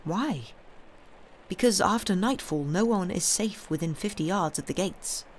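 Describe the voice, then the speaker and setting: A woman's voice: on the low side, expressive, a little slow, a little hiss or hum. A woman reading aloud, voicing a grave, formal male innkeeper who is easily offended. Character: fussy earnest Italian male innkeeper.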